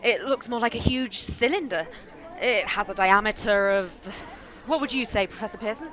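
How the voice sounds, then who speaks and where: An actress playing a female radio reporter in a radio play, briskly announcing and getting flustered at a strange scene. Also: on the high side, fairly bright tone, natural voice. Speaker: radio commentator. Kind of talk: amateur radio play acting.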